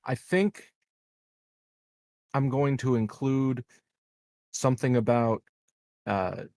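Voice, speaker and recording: A man's voice: mid-range voice, slow pace, mellow, no echo, clean studio sound. A man playing a tabletop game, talking casually and hesitantly as he thinks his choices through aloud.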